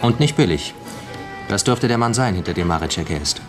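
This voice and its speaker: A man's voice: lowish voice, expressive, a little fast, over music, close to an everyday voice. A man acting a police subordinate who reports to the chief in a radio crime drama, factual, clipped and dryly precise. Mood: matter-of-fact.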